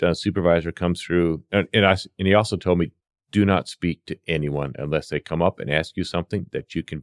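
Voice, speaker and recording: Male podcast guest, low register, rapid casual storyteller who acts out dialogue. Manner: animated re-enacting of dialogue. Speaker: podcast guest, veteran EMS worker.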